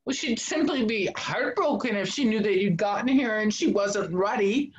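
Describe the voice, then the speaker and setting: A woman's voice: mid-range voice, animated, moderate pace, highs cut off, lightly acted. An older woman playing a flustered, admiring household maid in a fretful, exclamatory stage comedy style. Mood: flustered, worried. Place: indoors at home, video call.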